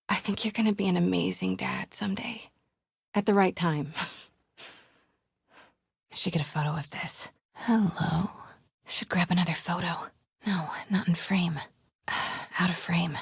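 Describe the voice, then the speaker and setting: A woman voice actress playing a determined young investigative reporter in a video game, with an American accent and a breathy, hushed manner. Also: fairly low pitch, takes it slowly, long pauses, no echo, clean studio sound, heavily acted. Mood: gentle, wondering. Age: young adult. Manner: hushed, self-talking, breathy.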